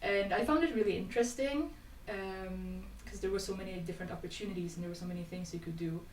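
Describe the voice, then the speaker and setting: A woman's voice: mid-range voice, fast talker, a little mellow. A twenty-year-old woman from Sweden, chatting casually as a podcast guest and telling stories. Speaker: podcast guest, youth volunteer. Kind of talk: casual conversational storytelling. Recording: echoey room, distant mic, faint noise.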